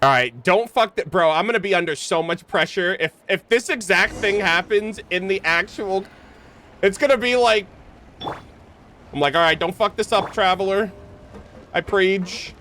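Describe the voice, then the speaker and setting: A man doing casual, slangy live game commentary, excitable and swearing freely, sometimes reading game text aloud. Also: high-pitched, a little slow, slight background noise. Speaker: game streamer.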